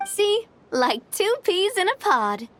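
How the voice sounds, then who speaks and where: A woman's voice: very high-pitched, sing-song, slow pace, a bit bright, a little hiss or hum, heavily acted. A woman playing a playful, cheerful, theatrical young woman in an anime game.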